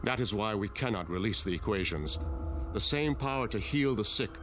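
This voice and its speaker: An actor in a radio drama playing a courteous, self-assured leader of a secretive utopian town, speaking in a measured, explanatory, genteel way. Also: male voice, on the low side, expressive, fairly bright tone. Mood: grave, insistent. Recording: music under radio drama dialogue.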